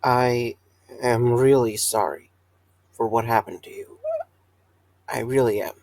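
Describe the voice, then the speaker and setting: Male actor as an earnest, worn-out young man; hesitant, halting delivery, dry mic. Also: medium pitch, steady tone, gravelly, darkish tone, no echo. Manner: hesitant, with filler words. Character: young man reopening a pizzeria, tired but earnest. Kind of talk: fan audio drama acting.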